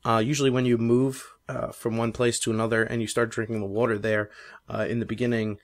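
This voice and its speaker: A man hosting a casual gaming podcast, rambling with many fillers while his voice is going hoarse. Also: medium pitch, little pitch movement, a little fast. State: losing his voice. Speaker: podcast host. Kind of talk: casual chat.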